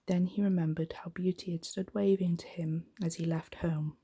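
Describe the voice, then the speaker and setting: A woman gently reading a bedtime story in an unhurried, soft, whispery ASMR style. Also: on the low side, fairly even intonation. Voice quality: breathy, whispery.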